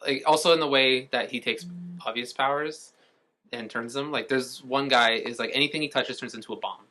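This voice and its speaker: Bright-voiced man chatting enthusiastically. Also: mid-range voice, fairly quick, low-quality recording. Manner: enthusiastic, profane. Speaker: podcast co-host. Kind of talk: casual fan discussion.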